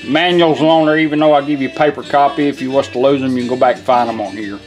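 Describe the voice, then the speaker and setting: A man's voice: medium pitch, steady tone, fast talker, soft background music. A man giving an informal, plain-spoken product demonstration, folksy in manner. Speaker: presenter, car radio restorer. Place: indoor workshop bench.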